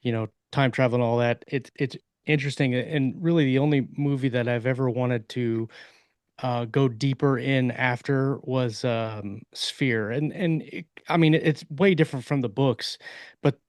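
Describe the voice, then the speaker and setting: A man of medium pitch talks casually as a podcast co-host in a very dry sound. His delivery rambles, repeating phrases as he thinks aloud. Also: fairly even intonation, moderate pace, darkish tone, no room sound. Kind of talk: casual panel chat.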